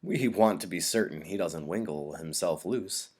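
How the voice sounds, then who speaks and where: A man's voice: sits low, normal speed, darkish tone. A man voicing an attentive father in a storybook, encouraging and kindly as he questions and instructs his family. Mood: kindly instructing.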